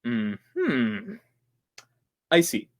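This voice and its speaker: A suave, scheming doctor from an old-time radio thriller, performed by a man. The delivery is very slow and drawn out, swinging widely in pitch, with theatrical courtesy covering sly calculation. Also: wildly swooping pitch, heavily acted. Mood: guarded, menacing. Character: suave, scheming male doctor who hires killers.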